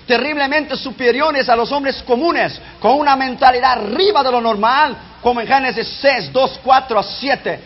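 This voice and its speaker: A man preaching an emphatic sermon who learned his Spanish as a second language, dropping low and quiet at the ends of phrases. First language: not Spanish. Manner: emphatic, drops pitch and volume sharply at phrase ends. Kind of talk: fiery sermon. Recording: dull lo-fi sound.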